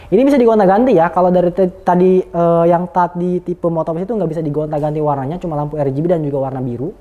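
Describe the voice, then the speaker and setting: A man's voice: a little high, mellow, dull audio. A man, giving an informal, slangy, unscripted product review in a chatty way.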